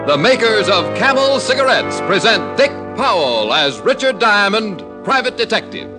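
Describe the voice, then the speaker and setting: A man's voice: sits high, very animated, moderate pace. An American man announcing in polished, emphatic old-time radio style, reading scripted introductions and sponsor copy. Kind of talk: 1940s-50s radio announcing and sponsor read. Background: music.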